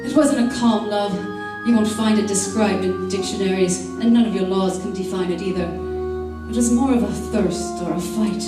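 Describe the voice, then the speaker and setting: A woman acting a lovestruck, scheming beauty parlor worker who tells her story in a deliberate, confessional old-time radio style. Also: medium pitch, steady tone, natural voice. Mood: confessional, brooding. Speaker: stage actor in an old-time radio play. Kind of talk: old-time radio drama acting and first-person narration. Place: theater stage, live performance. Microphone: stage stand mics, distant camera. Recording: echoey stage from far off, organ music.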